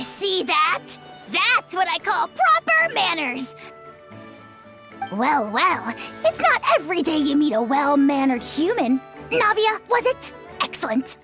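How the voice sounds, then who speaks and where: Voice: high-pitched, sing-song, a little slow, bright, forceful tone, soft background music, exaggerated voice. An actor voicing a small, arrogant, ancient dragon lord in a video game, with a pompous, bombastic and haughty delivery. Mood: smug approval. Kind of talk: animated video game dub acting.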